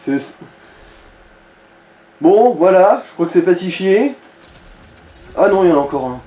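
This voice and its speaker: A man's voice: high-pitched, expressive, moderate pace, warm tone. A man giving casual, crude-humoured gaming commentary as he guides a player. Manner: crude joking, swearing. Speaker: let's play commentator guiding the player. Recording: echoey room with faint background noise.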